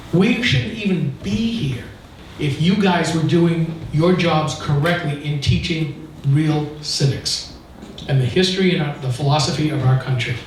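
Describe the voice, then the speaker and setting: An American man giving an informal talk at a local meeting, telling his story in an emphatic, indignant, explanatory way. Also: on the high side. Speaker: activist speaking at a local political meeting. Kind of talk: informal public talk, recounting an anecdote. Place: indoor meeting room, recorded from a distance. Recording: echoey room, distant mic, faint noise.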